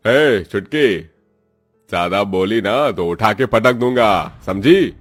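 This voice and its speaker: A man playing a gruff, scolding boss and landlord in a cartoon story. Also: lowish voice, animated, takes it slowly, soft background music. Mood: threatening. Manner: scolding, brusque. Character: bossy, gruff male overseer and threatening landlord.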